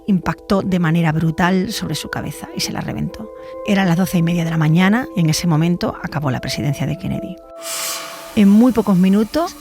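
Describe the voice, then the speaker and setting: Woman from castile style telling a true crime podcast story, music going behind. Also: lowish voice. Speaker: journalist, podcast narrator. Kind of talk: scripted documentary storytelling.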